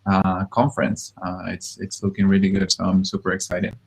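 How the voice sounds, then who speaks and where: A low-voiced man chats on a video call. He is hesitant and often repeats a word as he restarts a sentence. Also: monotone, normal speed, breathy edge. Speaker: conference organizer. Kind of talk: casual video call chat. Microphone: computer mic on a video call.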